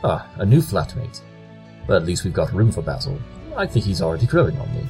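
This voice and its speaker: Man as pompous pony detective, low voice, precise, clever, cartoon audio drama, faint music behind. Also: sing-song, fairly quick, darkish tone. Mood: softened. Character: haughty, clever male pony detective with hidden soft side.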